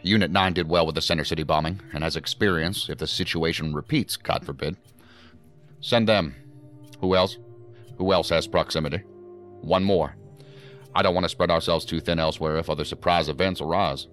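A senior federal director in a futuristic radio play. His voice is exceptionally deep, and he talks with measured, formal authority. Light music sits underneath. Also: fairly bright tone. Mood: commanding, businesslike. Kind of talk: audio drama acting.

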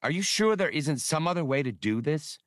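A man's voice: a little high, lively intonation, normal speed, no echo, clean studio sound, voice pushed up, lightly acted. A man voicing an earnest, inquiring young woman with a doubtful manner, in an adventure audiobook.

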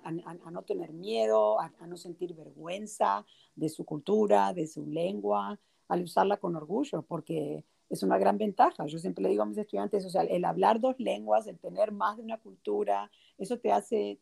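A woman's voice: medium pitch, vocal fry, husky. A woman in her forties from Uruguay, speaking as an interview guest, telling stories with frequent fillers and restarts. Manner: warm storytelling with many fillers and restarts. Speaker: guest, children's book author and teacher. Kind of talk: casual interview conversation.

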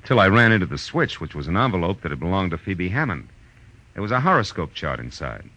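A man's voice: low voice, sing-song, fast talker, bright, forceful tone, full character voice. A man playing a hard-boiled, world-weary private eye in a 1940s radio detective drama, dry and laconic. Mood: wry, weary. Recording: dull old radio recording with music.

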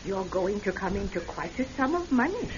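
A woman's voice: medium pitch, big pitch swings, brisk, close to an everyday voice. An actress playing a kindly, fluttery elderly tearoom lady who tells fortunes, with a hesitant, exclaiming manner in classic radio drama style. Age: older. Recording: dull old radio, noisy crowd behind.

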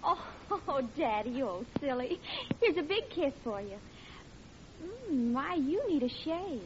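An actress playing a breezy, affectionate teenage daughter in an old radio comedy. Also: female voice, high-pitched, very animated, unhurried. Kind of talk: 1940s radio comedy acting. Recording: muffled old radio with some noise.

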